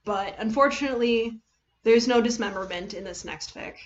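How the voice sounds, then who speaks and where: The recording is a little dull and lo-fi, like a home livestream. A woman with a somewhat high voice chats casually with her viewers. She rambles haltingly, trailing off and correcting herself as she goes. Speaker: livestream host reading fan fiction.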